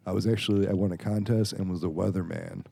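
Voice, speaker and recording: A man's voice: deep voice, steady tone, fast talker, vocal fry, mellow. An American man in his late twenties chatting casually as a guest, joking and rambling informally. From Chicago area, United States. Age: late 20s. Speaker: podcast guest. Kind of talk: casual chat.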